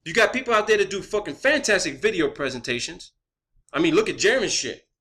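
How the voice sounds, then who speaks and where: A man's voice: sits high, sing-song, quick pace, bright, forceful tone. A man delivering an unscripted, blunt and combative vlog rant, swearing constantly as he goes. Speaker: online video creator.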